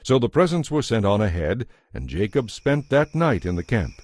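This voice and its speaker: A man narrating a dramatized audio Bible in a measured, scripted storytelling style. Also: fairly low pitch, big pitch swings, darkish tone, no room sound, studio quality.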